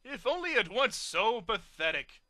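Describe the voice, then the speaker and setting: A man's voice: sits high, very animated, pushed and bright, dry recording, studio quality, pitched above the performer's own voice, heavily acted. A man doing a snooty monocled pegasus. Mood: haughty. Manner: snooty, haughty, drawn-out.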